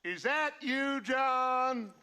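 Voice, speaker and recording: An actor playing a menacing, grandiose American outlaw gang leader in a Western video game, theatrical and taunting. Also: male voice, extremely high pitch, noisy background, heavily acted. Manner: theatrical, taunting, halting speechmaking. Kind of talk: dramatic video game voice acting.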